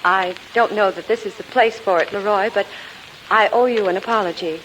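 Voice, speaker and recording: An American woman playing a gracious, tactful schoolteacher, kindly and gently playful, in a 1940s radio comedy. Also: mid-range voice, a little fast, lightly acted, poor audio. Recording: old radio recording, faint hiss.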